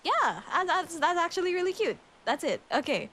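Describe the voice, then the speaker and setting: A woman's voice: very high-pitched, very animated, moderate pace, a bit bright, a little hiss or hum. A young adult woman from the Philippines with a Filipino English accent, chatting casually and giggly while thinking aloud on a game livestream. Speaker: streamer.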